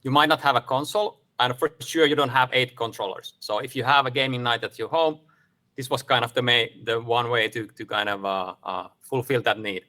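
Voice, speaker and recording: A man's voice: mid-range voice, a little fast, pushed and bright. A man from Finland giving a casual, upbeat presentation over a video call, with many fillers. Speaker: guest speaker.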